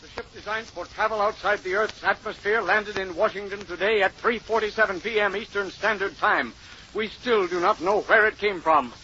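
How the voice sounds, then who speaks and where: A man's voice: high-pitched, moderate pace, bright, forceful tone. An actor playing a tense male news reporter on the scene in a 1950s radio drama, announcing in an urgent, clipped way. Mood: tense, controlled. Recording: dull old radio recording, faint noise.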